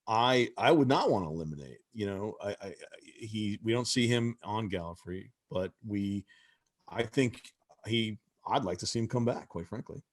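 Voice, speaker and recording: Man, lowish voice, hesitant, restarts a lot. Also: animated, normal speed. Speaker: panelist. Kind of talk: casual panel discussion.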